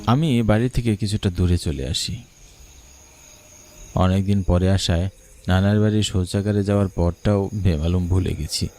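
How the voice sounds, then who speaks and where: A man's voice: sits low, mellow, a little hiss or hum. A man narrating a scripted first-person horror story in a dramatic, suspenseful manner.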